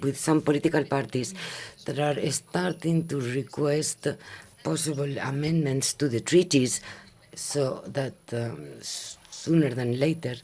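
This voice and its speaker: A man addresses a formal meeting from a conference hall, speaking in a measured, halting way. He pauses often on fillers as he lays out the agenda points, in a voice of ordinary middle pitch. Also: a little mellow. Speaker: meeting chair.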